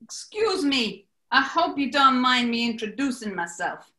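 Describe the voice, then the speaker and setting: A self-important New bar boss, played by a woman, talks in a high, bright voice with slick flattery. It is 1940s radio comedy acting in an echoing room. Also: measured pace, heavily acted. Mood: smooth, self-important. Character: smooth-talking, flattering male New York bar owner and gangster type.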